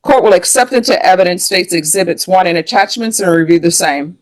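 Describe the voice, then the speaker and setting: A woman's voice: fairly low pitch, brisk, low-quality recording. American woman judge, formal and methodical. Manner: procedural, methodical, formulaic. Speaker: judge presiding over a plea hearing. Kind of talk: formal courtroom questioning and procedure.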